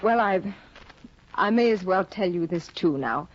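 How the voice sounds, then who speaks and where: Bitter, imperious young wife. Lively pitch, lo-fi 1950s radio drama sound. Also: female voice, unhurried. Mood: tense, strained. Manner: icy, bitter, commanding. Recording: dull lo-fi 1950 radio broadcast with faint hiss.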